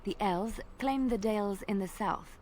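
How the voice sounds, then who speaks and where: A woman voicing a gentle young woman bard in a video game, telling old tales in a soft, measured and earnest way over faint game ambience. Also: mid-range voice, a little hiss or hum, lightly acted. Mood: storytelling. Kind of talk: storytelling in video game dialogue.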